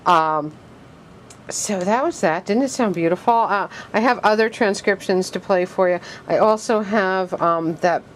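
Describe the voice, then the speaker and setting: A woman giving a casual show-and-tell of her collection, excited and gushing, with halting delivery. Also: medium pitch, slight background noise. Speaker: record player collector, vlogger.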